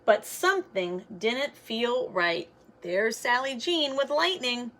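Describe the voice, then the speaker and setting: A woman's voice: fairly high pitch, animated, takes it slowly, a bit bright, a little hiss or hum. A woman reading a children's picture book aloud like a teacher, expressively, with simple explanatory asides.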